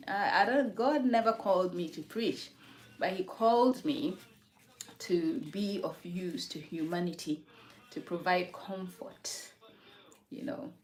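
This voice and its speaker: A woman's voice: fairly low pitch, a bit bright. A woman from Ghana hosting a casual livestream from her home, talking reflectively about faith and answering viewers' comments. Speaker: livestream host.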